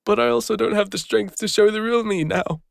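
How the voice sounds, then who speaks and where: A man plays a guilt-ridden fantasy adventurer with an English accent and a slightly high, gravelly voice. He speaks in a halting, confessional way, wistful and exposed. The sound is dry and close, like an intimate audio roleplay. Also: no room sound. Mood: anguished, ashamed. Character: Killian, guilt-ridden retired male adventurer, vulnerable, lonely, wistful.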